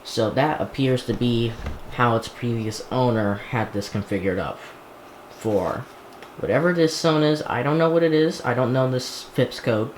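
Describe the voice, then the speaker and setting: Male hobbyist vlogger, unscripted and halting, half talking to himself, roomy sound with noticeable background noise. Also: medium pitch. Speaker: hobbyist vlogger unboxing gadgets. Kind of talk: casual unscripted chat while handling a device. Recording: echoey room, clear background noise.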